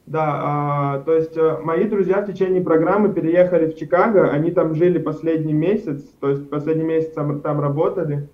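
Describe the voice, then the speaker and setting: A young man speaks over a video call from a room at home, his voice a little higher than most men's. The audio is muffled and lo-fi, like a webcam feed. He answers in a loose, rambling way, with lots of fillers and self-corrections. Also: fairly even intonation, fairly quick, few pauses. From Karachay-Cherkessia, Russia. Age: young adult. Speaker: studio guest. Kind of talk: casual interview answers. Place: indoors at home, plain wall.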